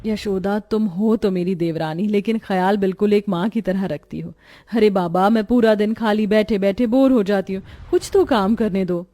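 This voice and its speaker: A woman voicing a gentle pregnant young mother in a cartoon story. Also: mid-range voice, moderate pace, lightly acted. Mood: affectionate.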